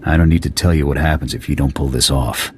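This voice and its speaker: Very deep-voiced stern military commander. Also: male voice, fast talker, slight background noise. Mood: stern, grave. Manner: authoritative, commanding, clipped military delivery. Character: stern, gruff male military commander briefing his soldier. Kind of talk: video game cutscene dialogue, military briefing.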